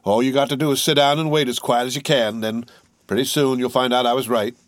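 A boastful tall young soldier, put on by a man reading a story, his voice lifted well above the narrator's own and a touch louder. He talks in a folksy, drawling way, laying down the law to his comrades in a dry, close-sounding read. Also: medium pitch, steady tone, fast talker, no echo, voice pushed up, natural voice. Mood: insistent. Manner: drawling, folksy, sure of himself.

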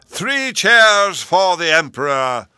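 Pompous court official in a storybook, booming, slow, pitched way up, solemn. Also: male voice, big pitch swings, pushed and bright, smooth, no room sound, clean studio sound, heavily acted. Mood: solemn, thundering. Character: solemn, thundering male Lord Chancellor.

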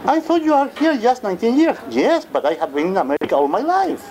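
A man's voice: very high-pitched, big pitch swings, fast talker, a little mellow, poor audio. A middle-aged man from Chile, a poet speaking passionately and emphatically as a panelist at a forum. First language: Spanish. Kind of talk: forum discussion.

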